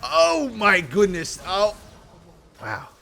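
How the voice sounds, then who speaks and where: A man's voice: sits high, huge pitch swings, extremely slow, creaky, pushed and bright. A man hosting a game review with casual, rambling gameplay commentary and sarcastic asides. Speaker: host of a game review series.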